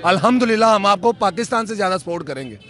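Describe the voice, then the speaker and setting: Young male fan, high, bright, fervent and preachy delivery. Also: lively intonation, rapid-fire. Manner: fervent, preachy, often invokes God. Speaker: cricket fan interviewee. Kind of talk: impassioned street opinion. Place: outdoors at night in a crowd. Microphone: handheld mic held by interviewer.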